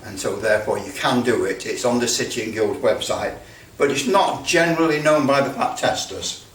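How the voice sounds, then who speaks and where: A man's voice: medium pitch, moderate pace, a bit bright. British man, roomy distant recording. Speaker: presenter demonstrating electrical testing. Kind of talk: casual hands-on demonstration. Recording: roomy, distant mic with background noise.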